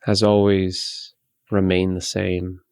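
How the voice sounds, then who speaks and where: Slow, low-voiced man guiding meditation. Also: monotone, creaky, clear, resonant tone, no echo, clean studio sound. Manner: unhurried, gentle, long pauses between phrases. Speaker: meditation guide. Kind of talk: guided meditation, soothing instruction.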